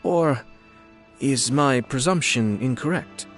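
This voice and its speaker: An actor playing a courteous, refined young lord in a video game, speaking formally and with dry wit. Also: male voice, mid-range voice, slow pace, music in the background, close to an everyday voice. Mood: formal, measured. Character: courteous young nobleman, composed, refined, dryly witty clan lord. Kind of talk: video game dialogue acting.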